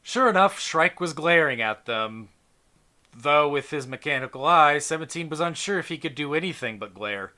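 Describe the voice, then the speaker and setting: Bright-voiced male game master narrating. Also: a little high, animated, moderate pace.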